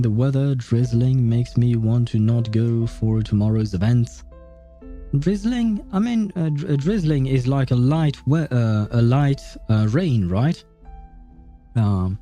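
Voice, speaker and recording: Dark, mellow-voiced man rambling on stream. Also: mid-range voice, animated, slow pace, faint music behind. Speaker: game streamer. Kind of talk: casual livestream commentary.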